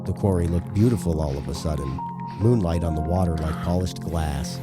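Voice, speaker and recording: A man's voice: deep voice, a little fast, over loud music. A man reading a scripted horror story as narrator of an audio drama. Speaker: podcast producer and drama narrator.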